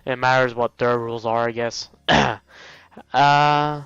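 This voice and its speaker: A man with a clear, smooth voice hosts a let's play, reading text casually and tripping over words as he goes. He drops in his own offhand asides. The sound is dry and a little dull. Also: mid-range voice, fairly even intonation, slow pace, a bit bright, dry recording. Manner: stumbles over words, chuckles, breaks off into asides. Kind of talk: casual read-aloud game commentary.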